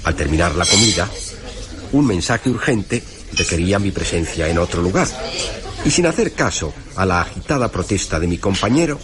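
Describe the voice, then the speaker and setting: A man narrating a literary radio drama as a storyteller, with wry, formal and deliberate phrasing. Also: low-pitched, lively intonation, a crowd in the background. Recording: music playing behind the narration.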